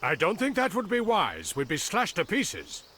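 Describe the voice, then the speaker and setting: A man playing a cautious, wise old grandfather in a video game, speaking in a measured and expressive way. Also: high-pitched, full character voice. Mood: pleading, cautious. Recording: insects and ambient noise behind.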